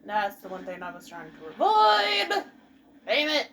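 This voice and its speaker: A woman's voice: medium pitch, big pitch swings, a little slow, gravelly. A woman giving casual let's play commentary, with muttered, exasperated asides as she plays. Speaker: gamer, let's play host.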